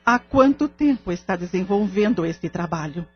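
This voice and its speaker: A woman's voice: medium pitch, lively intonation, normal speed, close to an everyday voice. Woman as a firm, commanding séance leader. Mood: probing. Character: firm, insistent lady spirit medium questioning a spirit. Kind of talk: audio drama acting. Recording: muffled lo-fi audio drama recording. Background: background music.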